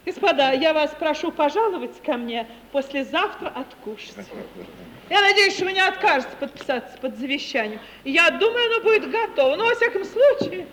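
A woman's voice: sits high, expressive, a little fast, a bit bright. An actress playing a self-satisfied, sentimental older landowning lady in 1950s Russian radio theatre, gushing and repeating her words for emphasis. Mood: proud, effusive. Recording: old hiss, long hall reverb.

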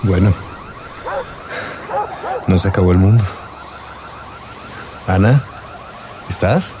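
An actor playing a smitten, bluff suitor in a radio drama, casual and incredulous in manner. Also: male voice, deep voice, slow, noisy background. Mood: relieved, concerned. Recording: music and street sounds behind. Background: music, vehicle soundscape.

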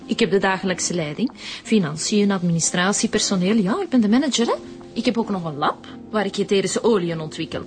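Radio drama, female business manager, acted by a woman: indignant, businesslike, lively intonation, music clearly behind. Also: medium pitch, a little fast, close to an everyday voice. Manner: businesslike, assertive, demanding.

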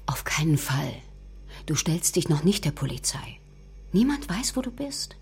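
A woman's voice: low voice, very animated, measured pace, a little mellow, husky, heavily acted. An actress playing a bold, teasing woman accomplice in a radio crime play, speaking assertively. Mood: urgent, insistent. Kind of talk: German radio drama acting.

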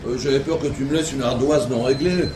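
An actor playing a familiar, joking barkeeper in a French audio drama, blunt, slangy and bantering. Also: male voice, mid-range voice, fairly quick, reverberant, noisy background, close to an everyday voice. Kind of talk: French audio fiction acting.

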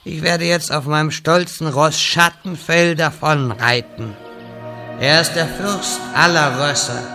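Casting: pompous wizard mentor, slightly high gravelly voice, theatrical, comic fantasy audio play. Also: male voice. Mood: proud. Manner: grandiose, solemn, then shouting calls. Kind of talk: parody fantasy audio drama.

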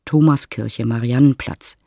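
An actress as a wry, husky storyteller, narrating a literary radio drama as if reading stage directions. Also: female voice, a bass voice, unhurried, warm tone, exaggerated voice. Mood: observing.

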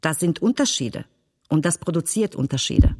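A woman's voice: deep voice, expressive, moderate pace, a little mellow, husky. A woman reading scripted narration as the narrator of an audio montage.

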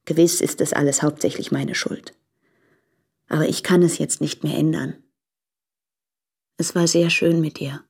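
An actress playing a tender, regretful woman addressing her former lover in a radio play, speaking in a reflective, restrained, deliberate way. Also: low voice, creaky, darkish tone, breathy edge, exaggerated voice. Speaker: radio drama actor. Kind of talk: intimate literary German radio play monologue.

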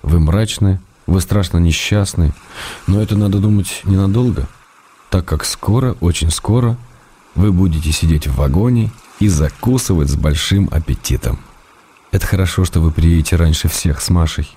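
A man's voice: low voice, moderate pace, a little hiss or hum, close to an everyday voice. A man playing a wry, tender middle-aged writer. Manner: dry, gently ironic, tender. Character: middle-aged male writer, gently ironic. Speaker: actor reading letters. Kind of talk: radio play letter reading. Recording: studio radio play with soft music.

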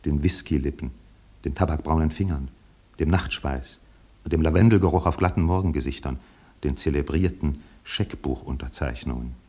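An actor playing a wry narrator in a literary radio play, telling it in a measured, lyrical way. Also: male voice, very deep voice, mellow, breathy edge.

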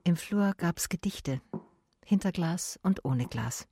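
A woman's voice: fairly low pitch, lively intonation, slow, warm tone, slightly rough, dry recording, studio quality, exaggerated voice. An actress playing a female private detective who narrates her case in a radio play in a matter-of-fact, laconic way. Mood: observant.

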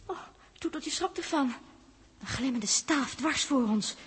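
A timid, anxious young explorer. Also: female voice, high-pitched, animated, unhurried, fairly bright tone, slight background noise. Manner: fearful, pleading. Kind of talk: 1960s radio drama acting.

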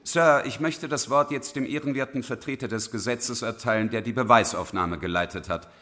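A man's voice: mid-range voice, a little fast, sharp tone, natural voice. A man plays a courtroom prosecutor in a crime radio play. His mid-range voice is formal and courteous. He addresses the court in official, orderly phrases. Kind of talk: German radio crime drama acting.

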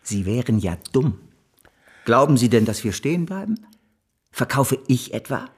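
An actor playing a self-assured, bossy financier of 1860s Paris in a historical radio drama, speaking grandly and briskly. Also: male voice, medium pitch, very animated, slightly rough. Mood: domineering.

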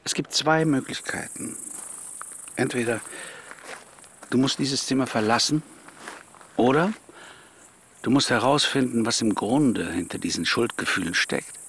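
An actor playing a calm, probing mentor in a radio play, speaking gently in deliberate, broken phrases. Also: male voice, mid-range voice. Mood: probing, advising. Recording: clear background noise with clicks.

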